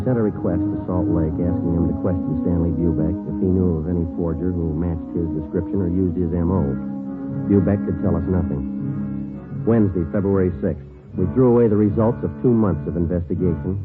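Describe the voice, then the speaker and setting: A man acts out a terse police detective from an old radio drama. His voice is low and he rattles through the facts in a deadpan, clipped way. It sounds like a tinny old broadcast. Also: a little fast, close to an everyday voice. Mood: dry, factual. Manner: deadpan, matter-of-fact. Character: terse, businesslike male police sergeant detective. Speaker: actor, lead and narrator. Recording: tinny vintage radio recording with music.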